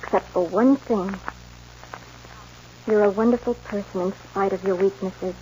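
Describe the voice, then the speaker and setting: An actress playing a principled, firm young rancher's daughter in a 1940s radio western. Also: female voice, mid-range voice, steady tone, moderate pace, dark tone, lightly acted. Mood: stern, heartfelt. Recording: dull, noisy old radio recording.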